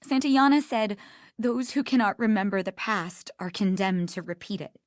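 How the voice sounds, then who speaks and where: An actress voicing an earnest, guarded young museum worker in a video game, speaking emphatically and protectively. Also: female voice, mid-range voice, moderate pace, no room sound, studio quality, natural voice. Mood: confessional, upset.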